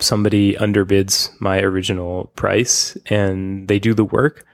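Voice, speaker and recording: Man, casual co-host, low pitch, dry close sound, candid and relaxed. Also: dry recording. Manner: relaxed, candid, with laughs and asides. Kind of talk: casual chat.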